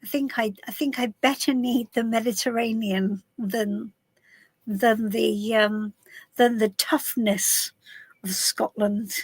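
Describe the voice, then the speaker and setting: A middle-aged woman from southwest London, her voice a little husky, chatting casually to viewers on a live stream from inside a camper van, calling people darling. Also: a little high, steady tone, slow, no echo, low-quality recording. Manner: chatty, warm greetings to viewers, calls people darling and love. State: a bit husky voice. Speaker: tarot reader hosting a live stream.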